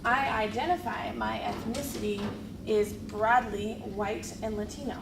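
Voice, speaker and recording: A woman's voice: fairly high pitch, fairly even intonation, measured pace, creaky. A woman participating in a classroom workshop, sharing a personal example earnestly and casually. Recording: distant roomy classroom mic with noise.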